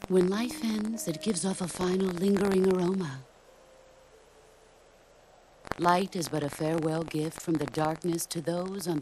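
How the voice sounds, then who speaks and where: An actress playing a legendary middle-aged female soldier and mentor in a video game, speaking in a measured, grave, philosophical way. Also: fairly low pitch, noisy background, lightly acted. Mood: solemn, wistful. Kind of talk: dramatic video game cutscene monologue.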